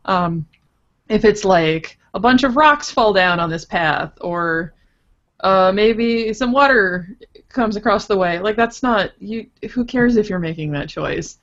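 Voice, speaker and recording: A woman's voice: medium pitch, lively intonation, unhurried, fairly bright tone, muffled recording. A woman guest on a casual podcast, explaining her writing thoughtfully and working through hypothetical examples as she goes. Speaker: podcast guest, interactive fiction writer.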